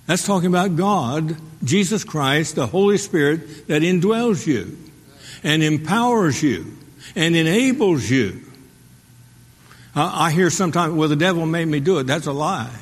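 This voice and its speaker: A man preaching a sermon as pastor, asking the congregation rhetorical questions and pausing for their response. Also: a little high, breathy edge. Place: church sanctuary. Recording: long hall reverb.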